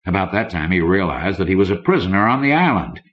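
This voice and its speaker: A man's voice: low voice, very animated, fast. A man reading scripted fiction as an audiobook narrator. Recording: dull lo-fi old cassette recording.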